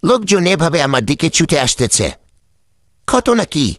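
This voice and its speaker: Casting: male actor for wily cartoon mullah, droll, raised pitch, lively intonation, repeats himself. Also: a little slow, no echo, studio quality. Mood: knowing. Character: droll, wily village wise man, a mullah. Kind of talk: animated cartoon dialogue.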